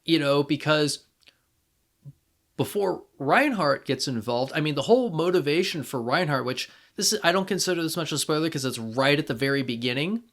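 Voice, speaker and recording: Higher-pitched man in casual discussion. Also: expressive, fairly bright tone. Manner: halting, thinking aloud. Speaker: podcast co-host.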